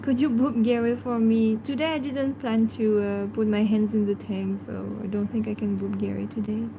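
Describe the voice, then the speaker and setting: A woman with a French accent, a native French speaker, hosting a casual livestream and answering viewers in a hesitant way full of fillers, sometimes talking softly to her pet. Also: a little high, fairly even intonation, normal speed, gravelly, noisy background.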